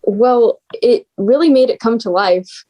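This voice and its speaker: A woman's voice: fairly high pitch, measured pace, dark tone, rough recording. A teenage girl, a young scriptwriter, giving casual answers in an interview. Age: teens.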